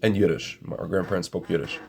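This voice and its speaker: Gravelly, emphatic man ranting to viewers. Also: sits low, fast, darkish tone, slight background noise. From Ukraine. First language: Russian. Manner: emphatic, repeats phrases, addresses viewers as friends. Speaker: commentator. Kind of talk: opinionated monologue to viewers.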